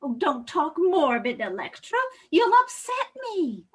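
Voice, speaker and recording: Woman acting a very high-pitched, fluttery old lady. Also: very animated, measured pace. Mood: timid, uneasy. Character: fussy, timid, plump old woman, meek and easily upset. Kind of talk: comedic readers theater acting.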